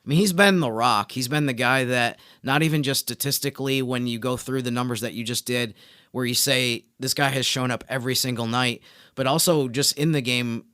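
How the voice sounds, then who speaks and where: A man co-hosting a sports podcast, giving conversational analysis and explaining his points step by step in a measured way. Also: medium pitch, fairly bright tone, no room sound, studio quality.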